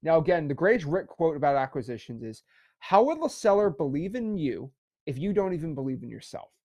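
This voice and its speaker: Young male instructor, bit high-voiced, hyped and repetitive, dry close sound. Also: a little fast, no room sound, highs cut off. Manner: energetic, repetitive, pep-talk delivery. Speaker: livestream course instructor. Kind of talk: casual livestream teaching.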